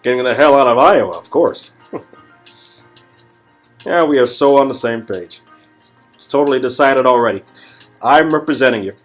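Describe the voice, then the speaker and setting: A man's voice: medium pitch, normal speed, long pauses, soft background music, natural voice. A man playing a cocky, joking young Hollywood talent agent in a hammy, improvised comedic dialogue. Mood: encouraging, playful. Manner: joking, hammy, repeats phrases for effect.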